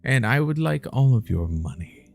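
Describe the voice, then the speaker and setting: A man's voice: medium pitch, big pitch swings, moderate pace, creaky, soft background music, voice pushed up. A man voicing a pompous, overly courteous government official shaking someone down in a game. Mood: politely demanding. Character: polite, pompous government official turned shakedown robber.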